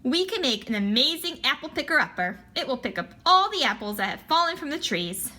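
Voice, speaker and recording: Storybook role: earnest big-brother boy, played by a woman, keen, bright, very lively delivery. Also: sits high, a little fast, voice pushed up, exaggerated voice. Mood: excited. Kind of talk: children's picture book read-aloud.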